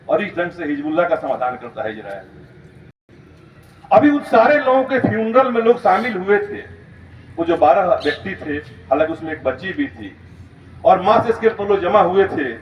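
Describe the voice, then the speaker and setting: A man speaking as a school principal, giving an emphatic, step-by-step explanatory lecture in storytelling style. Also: fairly high pitch. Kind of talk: motivational school lecture, storytelling about current events. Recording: roomy, distant, muffled, noisy recording.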